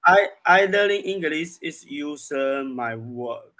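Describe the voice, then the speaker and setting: A man's voice: fairly high pitch, lively intonation, slow pace, pushed and bright. A man in his thirties with a Chinese accent, practising conversation in hesitant, broken English and repeating his words. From China. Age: 30s. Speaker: English learner. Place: online video lesson call.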